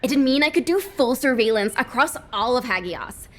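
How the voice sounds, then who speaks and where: A woman's voice: on the high side, lively intonation, moderate pace, fairly bright tone. An actress playing a restless, emotional young woman in a video game cutscene, exasperated and rambling.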